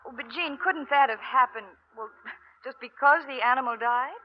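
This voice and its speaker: An earnest young ranch woman played by an actress with an American accent and a high voice. She speaks politely in a vintage radio western, through a dull recording with faint noise. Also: normal speed, close to an everyday voice. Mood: puzzled. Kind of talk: old-time western radio drama acting. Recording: muffled, noisy old radio recording.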